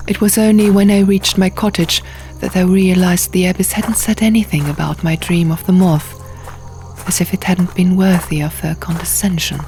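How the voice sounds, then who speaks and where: A woman narrating fiction as a scripted, dramatic audiobook, hushed and often near a whisper. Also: fairly low pitch, little pitch movement, normal speed, a little hiss or hum. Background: soft music.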